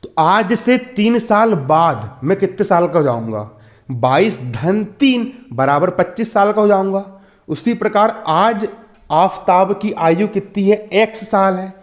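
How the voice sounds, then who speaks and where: Higher-pitched young man teaching maths. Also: lively intonation, measured pace. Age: 20s. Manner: repetitive, asks and answers own questions, checks understanding. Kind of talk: school maths lesson, explaining step by step.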